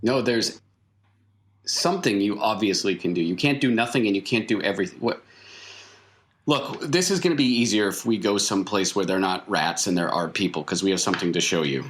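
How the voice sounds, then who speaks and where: A man speaking as game master in an improvised tabletop roleplaying session, with measured, halting phrasing. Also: on the low side, fairly bright tone. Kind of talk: improvised tabletop roleplay narration and in-character dialogue.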